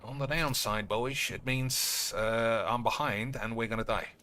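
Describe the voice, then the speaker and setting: A man's voice: mid-range voice, steady tone, a little slow, pushed and bright. A man giving casual, rambling gameplay commentary, swearing and wryly talking to himself. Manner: sweary, wry muttering to himself. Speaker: game streamer. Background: video game sounds.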